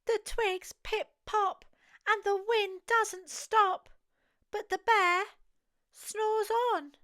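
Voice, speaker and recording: A woman with an English accent reading a bedtime story to toddlers in a measured, deliberate storybook delivery. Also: extremely high pitch, fairly even intonation, a bit bright. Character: dog storyteller who reads picture books to small children. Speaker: children's storyteller. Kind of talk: slow read-aloud bedtime story for toddlers.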